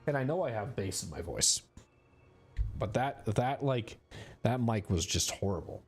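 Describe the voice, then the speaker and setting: A man's voice: on the low side, lively intonation, a little slow, husky, faint music behind. Rambling young male streamer. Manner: rambling, lots of filler words. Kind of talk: casual gameplay commentary. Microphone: desk streaming mic.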